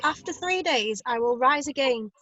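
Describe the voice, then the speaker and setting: A woman's voice: high-pitched, expressive, moderate pace, bright, forceful tone, heavily acted. A woman playing a mocking, scheming chief priest in a dramatised scripture reading. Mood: formal, urging. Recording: slightly muffled, dry recording.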